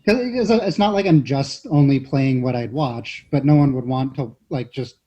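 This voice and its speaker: A man with a mellow, relaxed tone talks as a panelist. He is halting and informal, often circling back on his phrases, and the recording is slightly dull and lo-fi. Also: medium pitch. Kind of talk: casual panel discussion.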